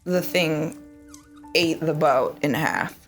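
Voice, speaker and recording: A woman's voice: low voice, animated, slow, soft background music. A woman player at a tabletop game, talking casually with lots of filler words. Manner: chatty, with many fillers like "like". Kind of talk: casual in-character play.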